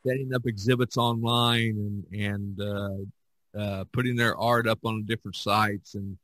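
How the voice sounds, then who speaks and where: A man's voice: fairly low pitch, flat delivery, normal speed, fairly bright tone, no echo. A man hosting a podcast in a casual, rambling way, often repeating himself and circling back. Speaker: podcast host.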